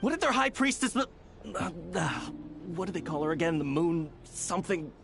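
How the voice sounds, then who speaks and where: A man's voice: fairly high pitch, sing-song, brisk, gravelly, over music. A man playing a flustered, cynical townsman in a video game cutscene, stammering and scoffing.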